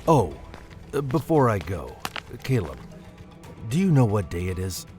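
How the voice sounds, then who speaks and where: An actor playing a composed, curt military guard following orders in a horror audio drama. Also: male voice, lowish voice, big pitch swings, measured pace, mellow, soft background music. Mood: controlled. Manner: controlled, authoritative.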